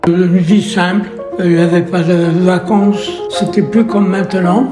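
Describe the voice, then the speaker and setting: A man in his nineties, a retirement home resident, recalling his childhood in a halting, repetitive way. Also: high-pitched, fairly even intonation. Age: 90s. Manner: halting, repeats himself. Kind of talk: recalling memories in an interview. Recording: roomy with music underneath.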